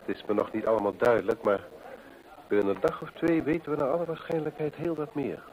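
An actor playing a keen, methodical gentleman detective in an old radio play, deliberate and rhetorical as he reasons aloud. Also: medium pitch, close to an everyday voice, poor audio. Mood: analytical, intent.

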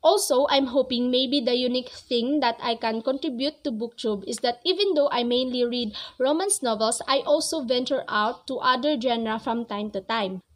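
A woman's voice: on the high side, normal speed, hardly any pauses. Earnest young woman, English not native. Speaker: book vlogger. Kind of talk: casual talk to camera. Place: small cozy bedroom with string lights. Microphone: camera at close range.

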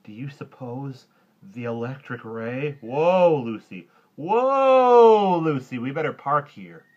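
A man voicing an excitable, awestruck, loyal young boy in a storybook reading. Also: mid-range voice, moderate pace, husky, highs cut off, voice pushed up. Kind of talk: children's storybook character voice.

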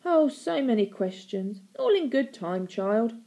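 A woman's voice: fairly high pitch, very animated, measured pace, dark tone, lo-fi sound, voice pushed up. A woman voicing a cruel, mocking storybook aunt, sickly-sweet and theatrical, slipping into baby talk. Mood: mocking glee.

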